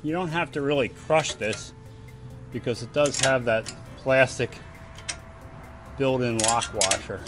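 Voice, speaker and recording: A man giving a casual step-by-step how-to while working on a boat trailer on his driveway, often turning away from a low camera. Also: a little slow, long pauses, music in the background. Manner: plain, practical, step-by-step explaining while working. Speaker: DIY vlogger. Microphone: camera mounted low on the ground, voice often off-mic.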